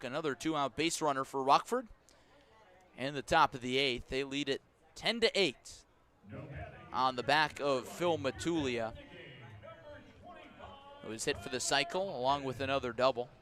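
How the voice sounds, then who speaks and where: Male American baseball play-by-play announcer, mid-pitched voice, descriptive live radio delivery in clipped phrases. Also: fairly bright tone. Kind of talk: live radio sports commentary. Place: outdoor baseball stadium at night.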